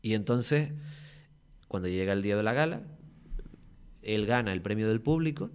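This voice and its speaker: A man's voice: on the low side, moderate pace, clean tone. A man from Tenerife with a Canary Islands Spanish accent, a guest actor telling long, rambling stories in a casual podcast interview. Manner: lively, rambling storytelling with many restarts.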